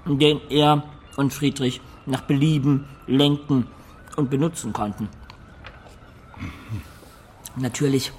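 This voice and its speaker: A man plays a worldly medieval storyteller laying out courtly intrigues. He is emphatic and expressive at a medium pitch, in radio play style with a light ambience behind. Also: a little hiss or hum, lightly acted.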